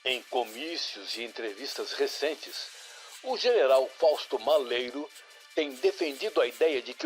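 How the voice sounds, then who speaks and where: Actor as brazilian radio news guy, formal n polished, medium pitch, faint music under him. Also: close to an everyday voice. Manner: formal, deliberate. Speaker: radio newsreader. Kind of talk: scripted radio news bulletin.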